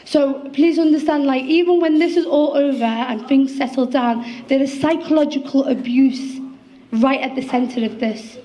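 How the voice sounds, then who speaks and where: A woman's voice: high-pitched, warm tone, highs cut off. A young woman in her twenties with a Liverpool accent, a guest poet speaking earnestly to an audience, with some fillers. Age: 20s.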